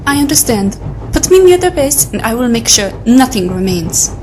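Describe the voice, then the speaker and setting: An actress playing a self-assured, obedient infiltrator in an audio adventure drama, crisp and formal. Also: female voice, on the high side, very animated, normal speed, noisy background. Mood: dutiful.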